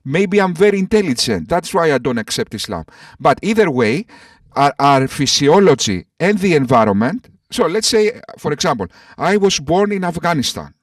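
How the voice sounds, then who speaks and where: A man argues in a voice chat, stumbling over his words and repeating phrases while calling others "sir." His voice sits a bit high and sounds distant from the mic in a dry space. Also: very animated, moderate pace, few pauses, no echo. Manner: repetitive, stammering, addresses others as sir. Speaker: atheist debater. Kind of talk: online voice-chat debate. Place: voice chat call.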